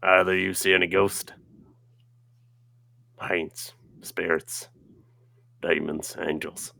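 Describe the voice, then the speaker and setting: Very gravelly deep man, wry. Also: flat delivery, slow pace, sharp tone, dry recording. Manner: wry, joking. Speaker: player in a tabletop game. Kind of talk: playful in-character banter at the game table.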